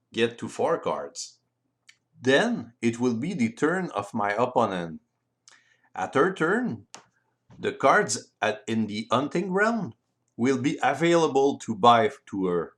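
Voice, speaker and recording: Slow-paced French man explaining game rules. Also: mid-range voice, fairly bright tone. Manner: careful, deliberate non-native English delivery. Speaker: board game rules presenter. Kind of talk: explanatory tutorial voice-over.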